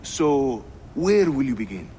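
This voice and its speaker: An actor playing a genial, helpful Turkish assassin guide in a video game cutscene, with a Turkish accent. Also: male voice, medium pitch, sing-song, a little slow, mellow, slight background noise, rough recording. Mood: curious, helpful.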